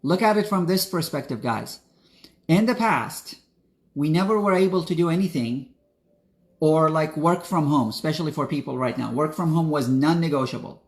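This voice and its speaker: A man's voice: fairly high pitch, lively intonation, normal speed. A young man from Iraq, a spiritual coach, talking straight to his viewers in an earnest, preachy motivational style. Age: young adult. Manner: earnest, direct, preachy, addresses viewers as guys. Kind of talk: motivational talk to camera.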